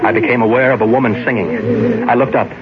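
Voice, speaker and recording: A man's voice: medium pitch, fairly even intonation, quick pace, lightly acted. An actor playing a jealous, brooding man in an old radio drama, intense and melodramatic. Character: jealous, brooding man narrating his own crime story. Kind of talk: 1940s radio acting, dramatic first-person narration. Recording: muffled old radio recording, loud music.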